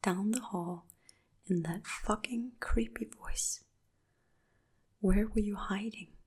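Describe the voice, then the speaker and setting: A woman's voice: mid-range voice, slow, a little mellow, husky. A woman whispering as a furious young nurse. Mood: angry whisper. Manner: whispered, angry snapping. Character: furious young adult woman nurse, accusing her husband.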